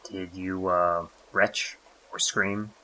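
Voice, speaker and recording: A sardonic interrogating detective played by a man speaks in a fairly low, bright-edged voice. He is dry and prodding, in the manner of a radio play. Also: level tone, slow, full character voice, poor audio. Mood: skeptical, dry.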